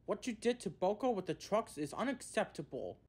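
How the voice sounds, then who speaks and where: A stern railway controller from a children's storybook, played by a man, scolds in formal, measured words. The voice is lifted in pitch and firmly authoritative. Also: very animated, darkish tone.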